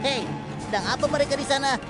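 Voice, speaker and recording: High female voice, an actress playing small curious bird, devout, humble, children's cartoon, loud music behind. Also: fast talker, natural voice. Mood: amazed, curious. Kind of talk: children's animated religious story acting.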